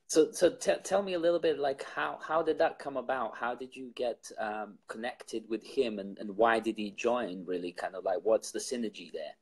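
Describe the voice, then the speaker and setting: A man from Bosnia hosting an interview in a relaxed, rambling way, with many fillers. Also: mid-range voice, normal speed, few pauses, husky. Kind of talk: casual interview chat.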